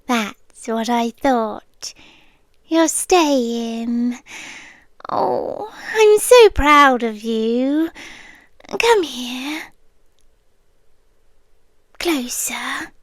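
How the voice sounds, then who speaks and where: A woman's voice: high-pitched, expressive, no room sound, clean studio sound. A woman playing a whispering, possessive hive queen. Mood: sweetly menacing. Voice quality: soft, slow, drifting between whisper and voice. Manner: coaxing, intimate, quietly threatening. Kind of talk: ASMR roleplay monologue.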